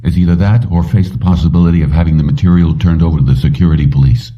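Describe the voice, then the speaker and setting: A man voicing a determined, self-assured male fugitive in an audiobook, measured and decisive. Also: rumbling low, fairly even intonation. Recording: muffled, dull, distant-sounding.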